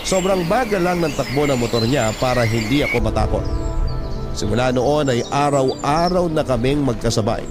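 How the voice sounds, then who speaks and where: Male radio story narrator, mid-pitched, confiding and conversational, with clear background music. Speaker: narrator reading a letter sender's story. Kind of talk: scripted first-person story narration.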